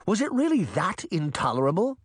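Male reader as storybook wicked queen, scolding, emphatic, weary, lifted pitch, very animated. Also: fairly quick, slightly rough, no echo, studio quality, exaggerated voice. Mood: indignant. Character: Bunny, sharp-tongued wicked queen, weary and defiant woman.

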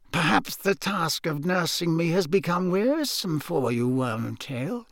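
High, cold, hissing dark lord. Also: male voice, dry recording, clean studio sound. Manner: imperious, slow, contemptuous. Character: cold, menacing, frail dark lord, male villain. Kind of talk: audiobook character acting.

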